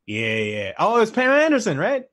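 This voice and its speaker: Lively-pitched man, informal podcast sidekick. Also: a little fast. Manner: informal, interjecting with opinions. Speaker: podcast co-host. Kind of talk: casual chat, short reactions.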